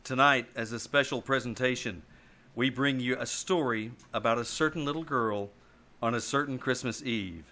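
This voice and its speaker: A man playing a solemn 1950s suspense radio announcer, with a dramatic, deliberate delivery. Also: mid-range voice, pushed and bright. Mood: portentous. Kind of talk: 1950s radio announcing, live stage performance.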